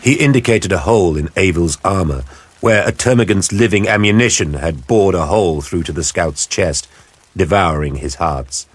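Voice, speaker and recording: A man narrating an audio drama in a measured, grave storytelling style. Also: low voice, lively intonation. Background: music.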